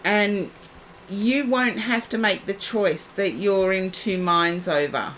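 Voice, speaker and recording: A woman giving a psychic reading on a live stream, soothing and reassuring, her voice going husky and hoarse. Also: mid-range voice, takes it slowly, fairly bright tone, slight background noise, rough recording. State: losing her voice.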